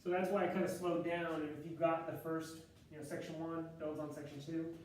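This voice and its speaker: A man with a loud, slightly high voice lectures on math in a relaxed, chatty style. He thinks aloud, corrects himself mid-sentence and fills pauses with ums. He is heard from a distance in a very echoey classroom with faint room noise. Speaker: math instructor.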